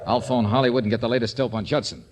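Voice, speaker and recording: An actor playing a wry, brisk, tough private detective in a 1940s radio drama, with clipped, assured wisecracks. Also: male voice, medium pitch, fairly even intonation, over loud music, lightly acted, low-quality recording. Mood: businesslike.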